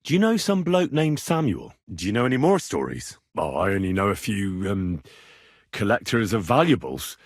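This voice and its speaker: A British actor playing an earnest, curious young blacksmith's son in a medieval video game, speaking in a plain, inquisitive and informal way. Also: male voice, lowish voice, big pitch swings, moderate pace, dry recording, clean studio sound. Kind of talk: game dialogue lines.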